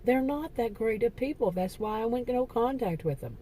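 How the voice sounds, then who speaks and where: Rambling woman with a mellow voice. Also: a little high, expressive, a little hiss or hum. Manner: rambling, halting, self-correcting. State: losing her voice. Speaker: vlogger. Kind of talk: casual personal vlog monologue.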